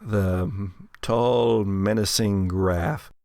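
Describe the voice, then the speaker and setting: A man hosting a tabletop game podcast as game master, mixing dramatic, deliberate narration with casual, dryly humorous chat. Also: lowish voice, steady tone, a little mellow, dry recording, clean studio sound. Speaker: host and game master of an actual play podcast.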